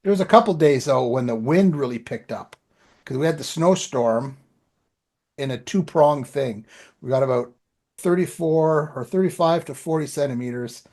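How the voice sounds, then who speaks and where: A man's voice: on the high side, normal speed, darkish tone. A man telling anecdotes in a rambling, informal way as a podcast co-host. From Canada. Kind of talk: casual chat, anecdotes.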